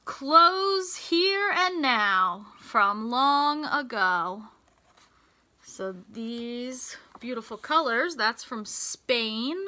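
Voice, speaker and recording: An American woman reading a picture book aloud to young children, in a deliberate, sing-song teacher's delivery with emphasized words. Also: fairly high pitch, lively intonation, pushed and bright. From United States. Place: small indoor room with racks of hanging clothes.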